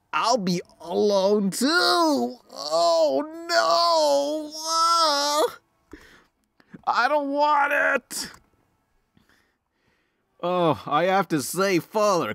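A man's voice: very high voice, lively intonation, extremely slow, long pauses, sharp tone, no room sound, clean studio sound, voice pushed up, heavily acted. A man voicing an anxious, lonely young girl from a video game in a plaintive, melodramatic manner. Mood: distressed. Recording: clear background noise.

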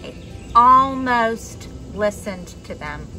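A young adult woman reading a children's picture book aloud expressively, with dramatic pauses and emphasis. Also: a little high, lively intonation, sharp tone, faint music behind. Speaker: storybook reader for a children's church message. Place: outdoors on a wooden deck among trees.

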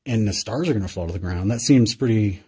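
A man's voice: lowish voice, lively intonation, fast talker, mellow, breathy edge. A man interviewing a guest in casual conversation, thinking aloud and searching for words with many fillers.

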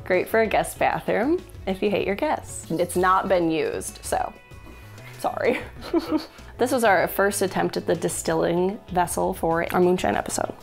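A woman in her thirties walks through a pile of homemade items, playful and quick to laugh. Her pitch swings widely from line to line. Soft background music sits under her voice in an indoor studio. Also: lively intonation, gravelly. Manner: playful, jokey, laughs often. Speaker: host. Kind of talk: casual show-and-tell of items. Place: indoor studio with a blue wall.

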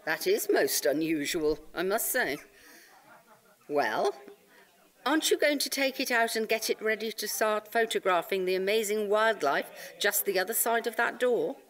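An actress playing a prim, inquisitive, officious park ranger, chirpy and formal, in an audio comedy drama. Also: female voice, medium pitch, animated, normal speed, close to an everyday voice. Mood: nosy, polite.

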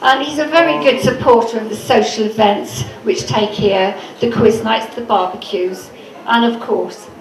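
A woman's voice: on the high side, moderate pace, slight background noise. A woman giving a chatty, teasing tribute speech at a club party. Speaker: presenter of a gift. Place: club function room. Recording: echoey room with crowd.

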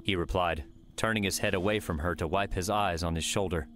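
A man's voice: sits low, steady tone, fairly quick, fairly bright tone, faint music behind. A man reading scripted fiction as the narrator of an audiobook.

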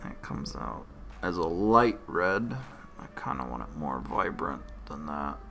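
A man hosting a casual, unscripted software tutorial, thinking aloud in short, halting phrases. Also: rumbling low, very animated, creaky, soft background music.

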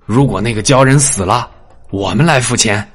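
A man's voice: mid-range voice, normal speed, a bit bright, husky. A man playing an anxious, officious, deferential steward of a princely household in an audiobook drama. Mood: formal.

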